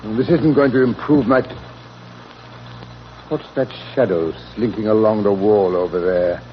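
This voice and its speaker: An actor playing a fussy, grumbling but amiable English gentleman sidekick, with a British accent, in a 1940s radio drama. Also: on the low side, normal speed, close to an everyday voice. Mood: uneasy muttering. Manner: fussy, blustering, indignant. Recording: muffled, noisy 1940s radio recording.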